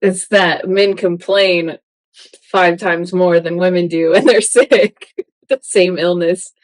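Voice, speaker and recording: Easygoing woman on a podcast, slow and lowish, dry room. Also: no room sound. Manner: easygoing, laughs often. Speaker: podcast co-host. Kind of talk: casual podcast chat.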